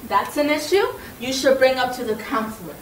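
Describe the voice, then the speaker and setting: A woman's voice: sits high, brisk, slight background noise, close to an everyday voice. A woman playing a tired, dismissive teacher with a curt, apologetic tone. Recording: echoey room from far off.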